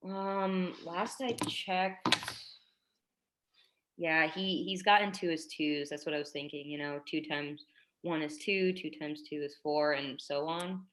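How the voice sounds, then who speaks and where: A woman's voice: deep voice, steady tone, measured pace, a bit bright, a little hiss or hum. A woman playing an earnest, tactful schoolteacher in her twenties in a staged drama reading, speaking hesitantly and delicately. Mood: awkward, delicate.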